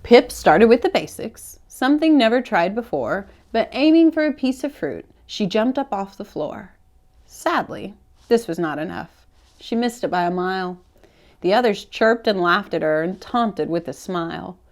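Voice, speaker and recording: A woman with a mid-pitched voice reads a children's picture book in verse. She shapes each line in an expressive, deliberate way, as a library story-time host. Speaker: children's read-aloud host at a public library. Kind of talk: storybook read-aloud for children, rhyming verse.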